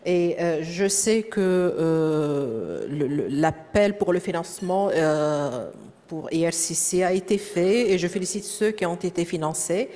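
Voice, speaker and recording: A woman with a French-speaking accent giving a conference presentation, often pausing with fillers and repeating words as she searches for the next phrase. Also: fairly low pitch, lively intonation, dark tone. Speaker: presenter at a professional development session. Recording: echoey hall.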